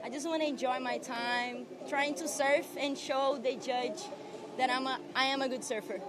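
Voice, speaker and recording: A young woman with a Brazilian Portuguese accent, an athlete giving a post-event interview in relaxed, simple phrasing. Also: high voice, moderate pace, over a noisy crowd, very noisy. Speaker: athlete interviewed after competing.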